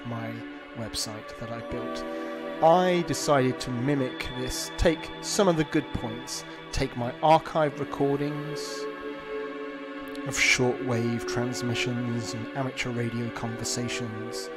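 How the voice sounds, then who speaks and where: A man hosts a show in a casual, explanatory way. His voice sits at a middle pitch. Music plays clearly underneath him as he addresses his listeners. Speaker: host of an online show about radio listening. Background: backing music.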